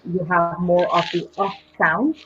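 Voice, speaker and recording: A woman's voice: on the low side, fairly even intonation, measured pace, dull audio, noisy background. Patient woman teaching English on a live stream. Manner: patient, explanatory, with frequent ums. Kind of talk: live online English lesson.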